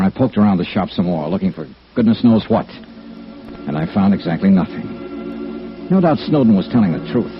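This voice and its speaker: A man's voice: on the low side, lightly acted. A man playing a shrewd, plain-spoken insurance investigator in old-time radio noir, clipped, wry and conversational. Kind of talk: old-time radio noir acting and first-person narration. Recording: dull old radio recording with music.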